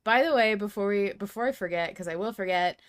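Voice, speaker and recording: A woman hosts a casual podcast with chatty, playful enthusiasm. Her voice sits at an ordinary middle pitch and is recorded close and dry, with hardly any room sound. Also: fairly quick, no echo. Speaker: podcast host.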